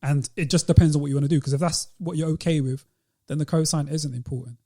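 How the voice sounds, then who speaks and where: A British man with a London accent speaks as a podcast co-host. His voice is soft-edged and mellow, sitting a little higher than average, and he talks in a casual discussion style. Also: steady tone, fast talker. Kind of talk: casual panel discussion of hip-hop.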